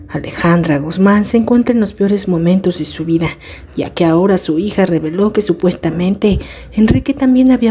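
A woman's voice: mid-range voice, mellow. A woman reading scripted celebrity gossip news as a narrator.

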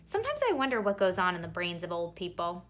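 A woman reading a children's picture book aloud expressively, with theatrical emphasis and drawn-out words. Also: fairly low pitch, huge pitch swings. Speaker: read-aloud storyteller.

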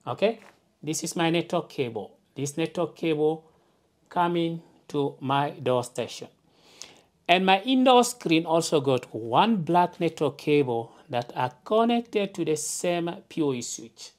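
A woman with a low voice talks through a practical demonstration at a slow pace. She explains things in simple steps, often punctuating them with a checking okay, as an instructor would. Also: lively intonation, a little mellow. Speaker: tutorial presenter. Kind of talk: hands-on instructional narration. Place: indoors, at a wooden work table.

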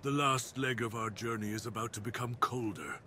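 A man playing a brooding, cursed dire wolf master in an ASMR roleplay, speaking in a solemn, deliberate, theatrical way with formal wording. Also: medium pitch, fairly even intonation, sharp tone, slight background noise, natural voice. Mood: solemn, reassuring. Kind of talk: ASMR roleplay, dramatic monologue.

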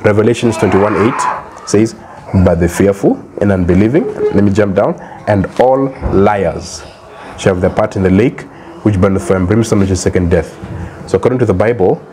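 A young man with a Kenyan accent and a somewhat low voice talks earnestly to a woman at a doorway. He slows down whenever he reads a verse from the Bible. Outdoor noise from the street sits behind him. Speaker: street evangelist. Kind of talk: doorstep soulwinning conversation. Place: outdoor building entrance. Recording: noisy outdoor ambience.

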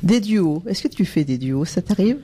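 A woman's voice: fairly low pitch, lively intonation, normal speed, crowd noise. A woman hosting a radio show, chatty and teasing, laughing often. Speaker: radio host. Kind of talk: casual radio interview.

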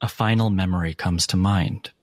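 A man working as game master, narrating a dramatic tabletop horror game deliberately, with pauses between phrases. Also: low-pitched, steady tone, darkish tone, no room sound. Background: music.

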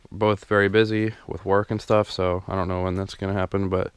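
Rambling low-voiced man touring his basement. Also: level tone, brisk, smooth, no room sound. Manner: rambling, many ums and restarts. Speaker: DIY home renovation vlogger. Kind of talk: casual walkthrough narration. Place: unfinished basement under renovation. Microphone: handheld camera mic, filming as he walks.

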